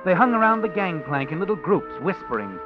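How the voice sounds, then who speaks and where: A man narrating a 1940s radio drama in a measured, dramatic way. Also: high-pitched, big pitch swings. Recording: dull old radio broadcast with music.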